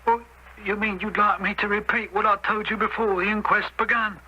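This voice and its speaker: An actor playing a deferential, slightly flustered train guard in a radio drama, hesitant and eager to help. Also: male voice, sits high, level tone, fairly quick, bright, forceful tone, slightly rough, full character voice. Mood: eager, explaining. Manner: hesitant, apologetic, chatty. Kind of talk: 1970s radio drama acting. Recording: noisy old radio broadcast recording.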